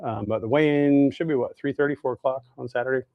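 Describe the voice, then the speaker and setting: A man's voice: medium pitch, fast, dry recording, phone-quality sound. Folksy, easygoing American man. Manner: folksy, easygoing, thinks aloud while working. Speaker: host of a fishing lure making livestream. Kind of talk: casual live demonstration with chat to viewers.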